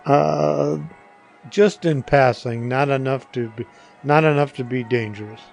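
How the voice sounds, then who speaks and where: A man's voice: medium pitch, creaky, darkish tone, faint music behind. An older man giving casual, hesitant answers as a guest on a podcast. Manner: hesitant, halting.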